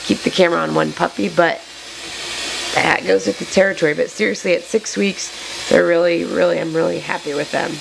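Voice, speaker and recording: Gravelly, hoarse woman chatting on camera. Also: fairly low pitch, fairly even intonation, brisk, noisy background. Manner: chatty and rambling, many fillers, reassuring. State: losing her voice from allergies. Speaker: dog breeder filming her puppies. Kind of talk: casual chat to camera. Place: indoor puppy room with wood floor. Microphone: handheld camera mic, moving around.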